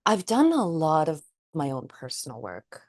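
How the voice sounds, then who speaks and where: Woman, very low voice, dry close sound, therapist co-host, thoughtful, earnest. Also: huge pitch swings, normal speed, darkish tone, dry recording. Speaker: trauma psychotherapist and podcast co-host. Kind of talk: casual chat.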